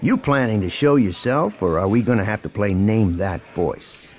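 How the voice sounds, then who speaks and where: A man's voice: fairly low pitch, animated, normal speed, darkish tone, slight background noise, close to an everyday voice. A man playing a brash, wry space smuggler with a sarcastic, theatrical way of talking. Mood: demanding.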